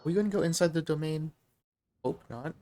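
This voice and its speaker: A woman's voice: low-pitched, a little fast, noisy background. A woman streamer giving casual gameplay commentary. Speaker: game streamer.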